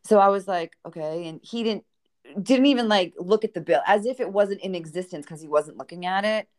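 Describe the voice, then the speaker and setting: A woman's voice: medium pitch, fairly quick. A woman with a Boston accent, a guest author telling dating stories in a podcast conversation and acting out the lines of the people in them. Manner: animated storyteller who acts out quoted dialogue.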